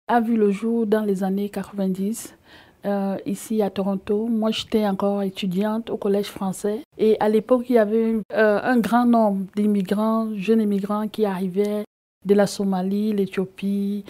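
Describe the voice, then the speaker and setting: A woman's voice: medium pitch, warm tone. A woman representing a youth organization, explaining steadily with some filler sounds in an indoor office. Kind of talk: interview explanation.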